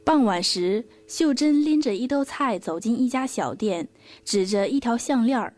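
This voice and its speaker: A woman's voice: fairly high pitch, lively intonation, normal speed, a little mellow, no room sound. A young woman reading scripted storytelling narration for a student radio drama. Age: young adult. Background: music.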